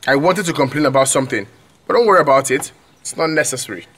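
A man's voice: medium pitch, fast talker, a bit bright. A man with a Nigerian accent playing an earnest, stubborn young student in a radio drama. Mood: calm, defensive. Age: young adult.

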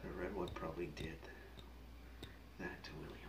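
A man plays a battered, weary survivor in a horror ASMR roleplay. His voice is very low, breathy and half-whispered, with a gruff muttered delivery. It sounds distant from the mic in a roomy space, with loud noise behind it. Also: little pitch movement, normal speed.

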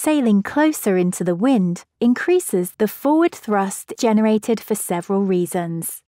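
Woman, scripted explainer narration, mellow tone, dry close recording. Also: mid-range voice, expressive, a little slow, dry recording.

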